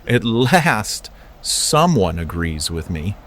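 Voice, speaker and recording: Man playing a low, slow earnest young acolyte. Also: sing-song, a loud crowd. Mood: dryly defiant. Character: reluctant, loyal 18-year-old male mystery-school acolyte. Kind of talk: scripted audio drama.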